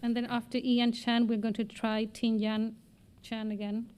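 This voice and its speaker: A woman chairing a public meeting, politely announcing each next speaker in a slow, measured manner. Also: on the high side, level tone, a little mellow, a little hiss or hum. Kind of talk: procedural announcements. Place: city school committee meeting.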